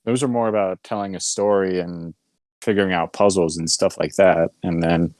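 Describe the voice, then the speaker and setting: A man's voice: sits low, fairly even intonation, normal speed, darkish tone, no room sound. A man co-hosting a podcast, explaining things informally with little acted-out examples. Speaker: podcast co-host. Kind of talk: casual podcast chat.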